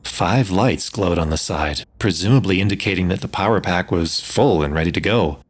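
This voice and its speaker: A man playing a spaceship pilot who tells his own adventure in a measured, dry storytelling manner for a sci-fi audio drama. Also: low voice. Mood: measured, curious. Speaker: narrator and lead actor. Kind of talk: first-person sci-fi audio story narration.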